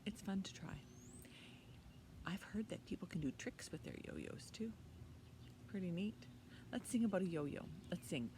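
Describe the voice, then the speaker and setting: Slow-paced woman hosting children's storytime. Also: fairly low pitch, expressive. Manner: simple short phrases, encouraging questions to children. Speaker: children's library storytime host. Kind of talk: interactive preschool storytime talk. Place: outdoors in a leafy backyard. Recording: outdoor ambient noise.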